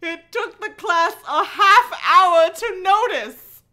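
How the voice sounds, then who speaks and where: Woman, livestream read-aloud host, very lively intonation, sharp bright tone, sarcastic asides, giggly, sweary. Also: very high voice, slow. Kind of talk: cold reading with mocking asides.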